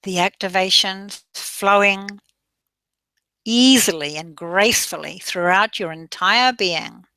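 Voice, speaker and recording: A woman guides a meditation at a very unhurried pace, her tone bright and clear. She draws out her phrases, soothing and gentle, slipping now and then into soft breath. The sound is close and dry, with no room around it. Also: medium pitch, no room sound, rough recording.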